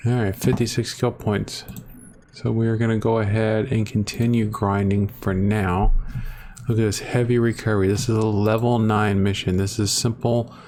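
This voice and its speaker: Rambling gamer guy, low voice. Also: flat delivery, normal speed, darkish tone, a little hiss or hum. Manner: rambling, self-deprecating, thinks aloud while playing. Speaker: gaming channel host. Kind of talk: casual gameplay commentary. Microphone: desktop microphone, close.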